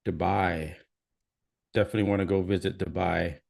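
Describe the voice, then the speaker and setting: A man's voice: deep voice, steady tone, moderate pace, creaky, darkish tone. A man with a Canadian accent hosting a live stream, chatting casually and reading out where viewers are from. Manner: chatty, reads out viewer comments. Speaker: livestream host.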